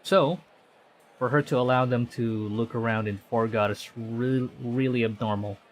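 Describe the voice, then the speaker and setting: A male streamer reads game text aloud in a medium-pitched voice. He is conversational and sometimes trips over a line and restarts, with faint noise behind him. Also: fairly even intonation, normal speed. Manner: conversational, stumbles and corrects himself while reading. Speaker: VTuber streamer. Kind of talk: reading visual novel text aloud on stream.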